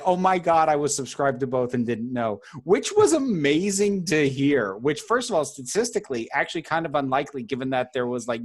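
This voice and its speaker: A baritone-voiced man, a guest in a casual interview, talking at length in an articulate, chatty way with many "like"s. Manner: articulate and talkative, lots of "like", laughs mid-sentence. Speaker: guest YouTuber.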